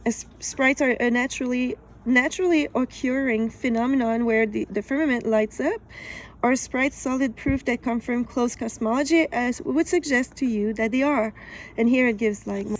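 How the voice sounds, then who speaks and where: A woman's voice: a little high, poor audio. A woman with a French accent reading aloud from a book and commenting on it, laughing often. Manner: laughs often, measured and deliberate.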